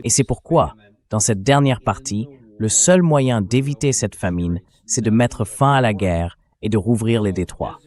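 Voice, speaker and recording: Low-pitched synthetic male interview host. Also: a little slow, darkish tone. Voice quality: synthetic AI dubbing voice. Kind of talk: political interview, dubbed translation.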